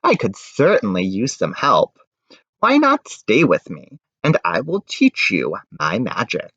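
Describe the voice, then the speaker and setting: A man voicing a wise, kindly old village witch in a picture book, gentle and reassuring. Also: fairly low pitch, wildly swooping pitch, measured pace, slightly rough, exaggerated voice. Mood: welcoming. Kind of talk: children's picture-book character voice. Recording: dull, roomy home recording.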